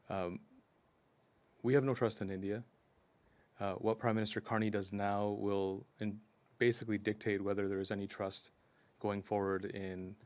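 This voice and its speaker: A man speaking as a community advocate at a news conference, earnest and steady, with frequent ums and uhs. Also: lowish voice. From Canada. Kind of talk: press conference statement.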